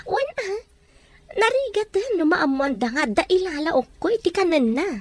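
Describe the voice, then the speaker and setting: Actress as bossy scheming filipino wife, really high voice, swings all over, radio drama. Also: female voice, big pitch swings, normal speed, no echo. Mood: secretive. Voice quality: high-pitched. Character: scheming, bossy wife secretly poisoning her rich husband. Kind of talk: Ilocano radio drama acting.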